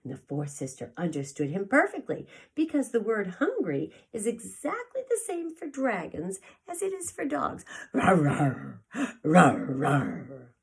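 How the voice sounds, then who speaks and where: A woman's voice: on the high side, huge pitch swings, moderate pace, hardly any pauses, slightly rough. A woman reading a picture book aloud to young children theatrically, like a preschool teacher, with asides to the listeners.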